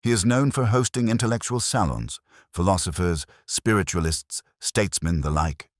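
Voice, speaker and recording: A man voicing a grave, haunted gentleman seer in an audiobook, formal and quietly earnest. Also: low voice, animated, moderate pace, no room sound, clean studio sound, voice pushed up.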